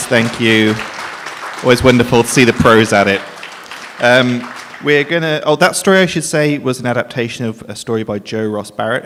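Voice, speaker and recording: A man's voice: mid-range voice, a bit bright, a little hiss or hum. Male event host in a reverberant hall. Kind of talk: informal live event announcements. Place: live on stage in an arts venue. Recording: reverberant hall with audience around.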